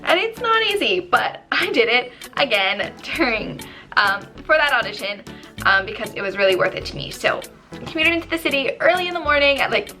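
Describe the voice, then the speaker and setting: A woman in her twenties from New Jersey, slightly hoarse and losing her voice, telling a story in a chatty vlog full of ums and likes. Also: sits high, very animated, brisk, pushed and bright, soft background music. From New Jersey, USA. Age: 20s. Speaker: YouTuber.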